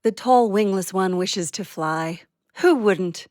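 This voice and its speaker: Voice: medium pitch, takes it slowly, a little mellow, dry recording, studio quality, natural voice. An actor voicing a small, vain and soothing warbler bird in an audio play, with a proud, self-admiring manner. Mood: proud, self-assured.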